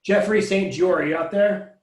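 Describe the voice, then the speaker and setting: A man's voice: high voice, moderate pace, reverberant. A man hosting a casual video-call welcome as a residency program director, upbeat and jokey. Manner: upbeat, jokey, friendly banter.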